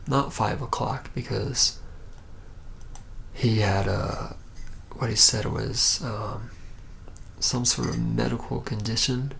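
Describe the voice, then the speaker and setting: A young man playing a reluctant, awkward young vampire in an ASMR roleplay, murmuring haltingly and often close to a whisper. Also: medium pitch, creaky, a bit bright, a little hiss or hum, exaggerated voice. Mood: reluctant, puzzled. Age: young adult.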